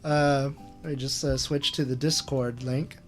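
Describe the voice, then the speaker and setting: A man's voice: mid-range voice, fairly even intonation, measured pace, faint music behind. A man hosting a casual screen-share retrospective, rambling with lots of fillers and chuckling at himself. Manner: rambling, filler-heavy, self-amused. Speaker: channel host.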